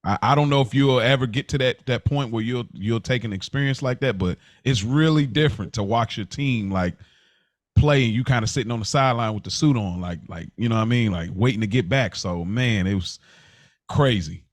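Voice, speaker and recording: An American man co-hosting a podcast in casual talk, earnest and halting, repeating himself for emphasis. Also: medium pitch, dry recording. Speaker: podcast co-host. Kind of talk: casual chat.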